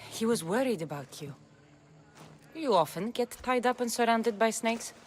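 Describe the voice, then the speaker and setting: A woman's voice: a little high, animated, moderate pace, slight background noise, close to an everyday voice. An actress playing a tough, terse female mercenary of ancient Greece in a video game, with dry sarcasm. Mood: wry.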